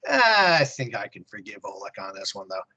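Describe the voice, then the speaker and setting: A man co-hosting a podcast, giving prepared comic-book review commentary in a husky voice. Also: fairly low pitch, big pitch swings, normal speed, bright, forceful tone, muffled recording. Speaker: podcast co-host.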